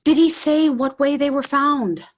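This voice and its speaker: Casting: actress as capable young country daughter, high-pitched, earnest, reproachful, rural tragedy. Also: female voice, measured pace. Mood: urgent, concerned. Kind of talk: stage drama read as virtual play.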